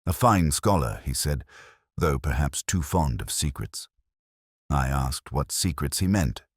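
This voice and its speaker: Very deep-voiced man narrating a mystery. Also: expressive, breathy edge, no echo, clean studio sound. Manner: measured, literary, brooding delivery. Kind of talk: scripted first-person detective mystery narration.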